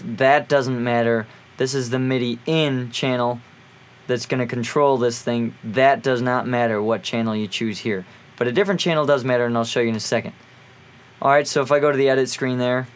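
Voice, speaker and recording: A man presenting an informal music gear tutorial, explaining in an offhand way as he thinks aloud. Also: mid-range voice.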